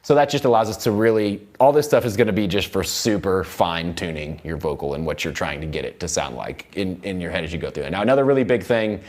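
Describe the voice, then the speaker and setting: Low-voiced man giving a casual tutorial. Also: fast talker, few pauses, a little mellow. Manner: informal, loosely worded explaining with repeated words. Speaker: music production instructor. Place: recording studio control room.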